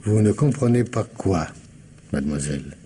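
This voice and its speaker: An actor playing a shrewd, courteous police commissioner in a radio mystery drama, husky and politely insinuating as he questions methodically. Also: low voice, expressive, moderate pace, warm tone, slight background noise. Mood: probing, insistent.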